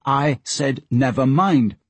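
A man's voice: medium pitch, sing-song, unhurried, darkish tone, breathy edge, no echo, pitched above the performer's own voice, heavily acted. A man voicing a stubborn, secretive young boy who leads the others, firm and curt in a storybook reading.

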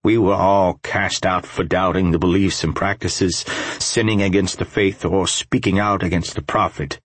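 A male narrator plays an older man cast out of his faith, in an audiobook drama. The voice is very deep and husky, grave and deliberate, with a measured solemnity. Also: steady tone, fairly bright tone, dry recording. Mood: solemn, restrained anger.

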